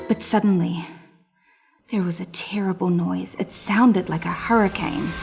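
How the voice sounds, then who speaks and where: A woman's voice: medium pitch, close to an everyday voice. A woman voicing a dramatic, anxious little male hamster telling his diary in a children's storybook. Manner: melodramatic storytelling with hushed suspense and emphatic exclamations.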